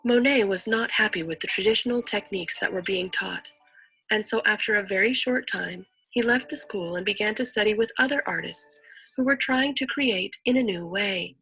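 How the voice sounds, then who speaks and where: Woman, very husky mid-pitched voice, scripted educational narration, faint music behind. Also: normal speed, sharp tone, dry recording, poor audio.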